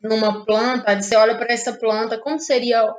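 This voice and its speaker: High-voiced northeastern Brazilian woman. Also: flat delivery, a little fast. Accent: Cearense northeastern Brazilian. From Ceará, northeastern Brazil. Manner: reflective, ends phrases with tag questions seeking agreement. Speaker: interview guest, playwright and actress. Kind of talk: conversational interview.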